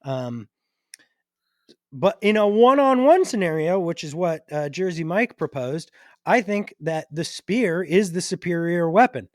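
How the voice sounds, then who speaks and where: A man with a fairly high voice talks as a podcast co-host. His delivery is casual and halting as he works through his thoughts aloud, and the sound is dry and close. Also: expressive, no echo.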